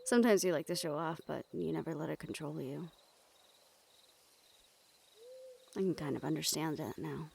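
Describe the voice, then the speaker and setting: A woman acting in an intimate audio roleplay as a remorseful, weary young woman with dangerous powers, speaking softly and hesitantly to the listener. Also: deep voice, fast, vocal fry, slight background noise. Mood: reassuring, reflective.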